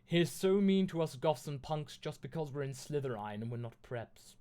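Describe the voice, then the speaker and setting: A man voicing a moody, excitable goth schoolboy with a secretive, theatrical manner in a mock-dramatic fanfiction reading. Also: on the high side, moderate pace, clear, resonant tone, voice pushed up, lightly acted. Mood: gothic gloom.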